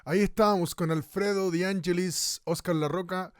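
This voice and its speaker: A man playing a brisk radio host who introduces clips and questions his guest in a radio drama. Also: high voice, fairly even intonation, dry recording, studio quality, natural voice. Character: eager male radio host, brisk interviewer.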